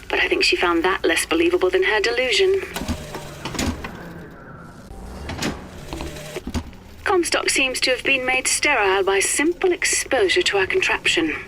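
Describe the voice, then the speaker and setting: An actress playing a cool, erudite, wry and melancholy physicist in a game audio log, speaking in precise, measured, formal phrases. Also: female voice, mid-range voice, sharp tone. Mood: dry, detached. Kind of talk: scripted dramatic audio-log monologue for a game. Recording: noisy, crackly vintage audio-log recording.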